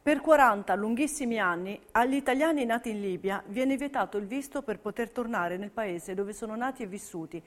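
An actress narrating a documentary stage play in an emphatic, scripted, theatrical style. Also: female voice, a little high, fairly quick, few pauses, lightly acted. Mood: solemn.

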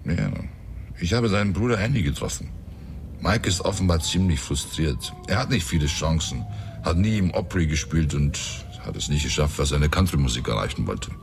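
An actor playing a practical, laid-back detective's sidekick in a radio crime drama, speaking in a matter-of-fact way. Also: male voice, very deep voice, expressive, normal speed, vocal fry, slight background noise, full character voice. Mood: reflective.

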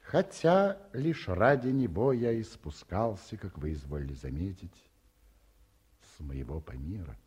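A very husky middle-aged gentleman scholar in a 1950s Soviet radio drama. He is courtly and pleased with himself, with ornate, hesitant phrasing and a voice that swings expressively in pitch. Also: lively intonation, unhurried, exaggerated voice. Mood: wistful, agitated. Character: courtly, self-satisfied middle-aged male academic, wistfully polite. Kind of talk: mid-century Soviet radio play acting. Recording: muffled-voiced 1950s radio studio recording.

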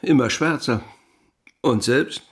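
A man acts a polite, gloomy companion with a medium-pitched voice. He is wry and inquisitive, talking in a radio drama. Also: slow pace, lightly acted. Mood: wry, curious. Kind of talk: German radio play dialogue.

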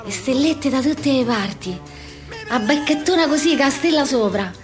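A woman playing a brash, wounded, childlike working-class Roman street girl in a theatrical monologue, with a slangy, repetitive, excitable delivery and a Roman accent. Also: high voice, moderate pace, over music. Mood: wistful, eager. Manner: slangy, repetitive, excitable, acting out many people.

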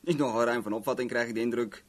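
An actor playing a cocky, talkative young garage owner in a Dutch radio play, rambling and self-assured. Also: male voice, medium pitch, steady tone, fast talker, natural voice. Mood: breezy, chatty.

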